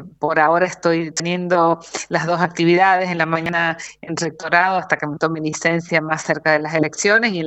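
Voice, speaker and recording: A woman from Argentina, a candidate speaking as a guest in a radio interview, thoughtful and pausing often. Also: on the low side, fairly bright tone, dry recording. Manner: thoughtful, pauses with fillers.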